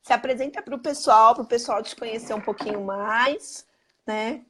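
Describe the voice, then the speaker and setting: Hoarse Brazilian woman hosting a live stream. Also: a little high, normal speed, slight background noise. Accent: Brazilian Portuguese. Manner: upbeat, greets viewers by name, repeats catchphrases. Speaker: host.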